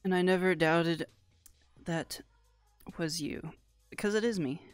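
A streamer with a middling voice chats casually in a halting, offhand way. The sound is close and dry, with faint music behind as questions get read out and answered. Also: mid-range voice, clear, resonant tone, no room sound. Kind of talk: casual livestream chat, answering viewer comments. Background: background music.

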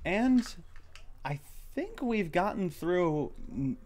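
A man with a fairly high voice hosts a relaxed group Q&A. He speaks haltingly and with a giggly edge, like someone chatting among friends. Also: a little hiss or hum. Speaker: host and project lead of fan dub. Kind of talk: casual group Q&A chat.

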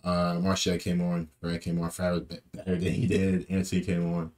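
A young man with a low, deep voice talks through a football match in a loose, rambling way. He repeats himself and drops in plenty of fillers, speaking from a small room into a desk webcam. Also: completely flat delivery, a little fast, darkish tone. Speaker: football fan vlogger. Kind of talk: casual post-match reaction.

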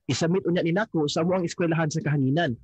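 A man's voice: a little high, fairly even intonation, rapid-fire, slightly rough, no room sound. A man with a Filipino accent playing an eager, modest young art student in a scripted radio plug. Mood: eager, proud.

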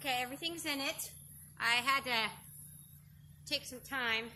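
A woman's voice: high voice, normal speed, heavy background noise. A middle-aged woman chatting through an outdoor open-fire cooking demonstration, explaining step by step with frequent ums, picked up by a camera a few meters away in a grassy yard. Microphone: camera on a stand a few meters away.

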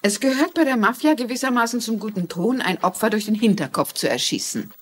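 A woman's voice: fairly high pitch, animated, fairly quick, breathy edge. An actress playing a courteous, inquisitive older woman amateur detective in a dubbed TV crime drama. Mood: probing. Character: shrewd, polite older female amateur sleuth.

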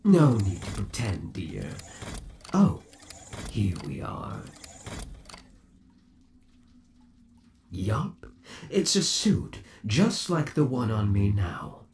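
Man acting a slow-talking, possessive undead boyfriend. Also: fairly low pitch, big pitch swings, a little mellow, slight background noise, exaggerated voice. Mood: doting, menacing. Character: undead yandere boyfriend trapped in springlock suit, menacingly doting. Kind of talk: ASMR roleplay, scripted monologue to the listener.

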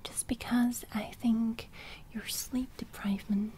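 A gentle young sleep fairy, played by a woman in an ASMR roleplay, speaking in a breathy whisper. She goes slowly and repeats herself softly, soothing and reassuring, right up close to the mic. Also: fairly high pitch, fairly even intonation, natural voice. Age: young adult. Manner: slow, repetitive, reassuring murmuring. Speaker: ASMR creator. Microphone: close studio microphone.

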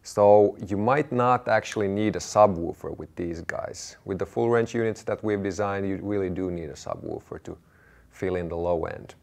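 A man's voice: sits low, gravelly. A man in his thirties presenting a DIY audio build to camera in a matter-of-fact, explanatory way. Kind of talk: product walkthrough to camera.

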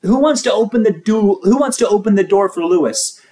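A man voicing a cheerful, quirky woman schoolteacher in a high, sing-song storybook voice. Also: lively intonation, fairly quick, mellow. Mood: cheerful, bossy.

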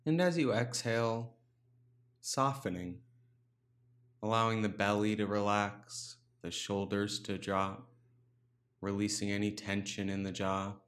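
Male meditation guide, lower register, calm instructional delivery, takes time between short phrases. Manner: calm, unhurried instruction with pauses. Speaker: meditation teacher.

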